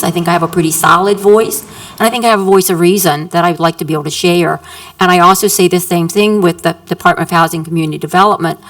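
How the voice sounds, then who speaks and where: A woman landlord giving an earnest, emphatic public comment at a city council work session, repeating her points. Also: fairly low pitch. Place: council chamber.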